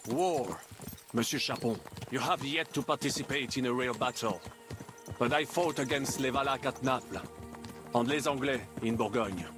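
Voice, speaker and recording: An actor playing a worldly, pragmatic French mercenary in a medieval video game, lecturing in a French accent peppered with French phrases. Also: male voice, medium pitch, normal speed, natural voice. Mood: matter-of-fact. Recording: loud game music behind.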